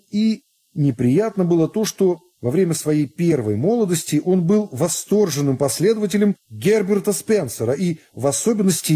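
A man reading literary narration for a radio production in a measured, expressive way. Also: on the high side, husky.

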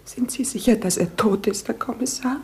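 A woman's voice: on the high side, normal speed, lightly acted. An actress playing a shaken, talkative woman just out of a car crash in a 1970s radio drama. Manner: breathless, talkative.

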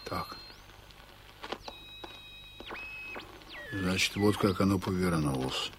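An actor playing a stern, composed ship's captain in a Soviet radio play, speaking formally and deliberately with command. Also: male voice, low-pitched, background noise, natural voice. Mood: grave, thoughtful.

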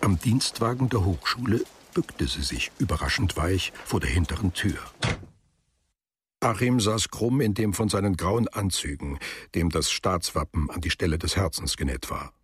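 A man narrating a literary radio drama as a sober, detached storyteller, with a deep and very husky voice. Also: expressive, normal speed, heavily acted.

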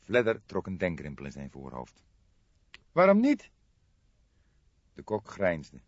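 A man's voice: a bass voice, animated, moderate pace, dry recording, muffled recording. A man reading scripted literary narration as an audiobook narrator.

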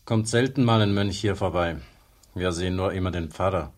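A man's voice: deep voice, moderate pace, natural voice. A man playing a plain-spoken, resentful village worker in a radio play, with a blunt, grumbling manner. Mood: grumbling, curious.

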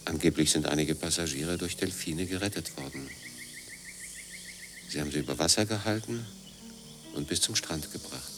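A man's voice: extremely low pitch, normal speed, long pauses, music in the background. An actor playing a pensive, musing man in a German radio play, speaking tersely.

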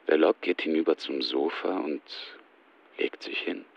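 A man's voice: extremely low pitch, a little slow, vocal fry, lo-fi sound. A man reading terse scripted narration of the action in a radio play, sober and understated.

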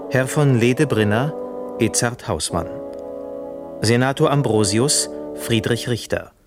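A man formally reading out the credits of a radio play as its announcer. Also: mid-range voice. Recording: loud music behind the voice. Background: loud music with brass.

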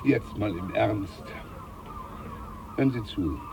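A man's voice: lowish voice, expressive, takes it slowly, noisy background. A man playing a jovial, scheming older ship's doctor in a German radio play, pompous, overfamiliar and emphatic. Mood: confiding, self-important.

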